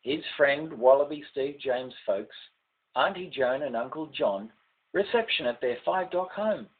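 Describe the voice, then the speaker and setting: A man's voice: a little high, expressive, unhurried, husky, phone-quality sound. An older Australian man recites a rhymed ballad in a declamatory, sing-song way, letting his voice fall at the ends of lines. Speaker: poet reading own poem.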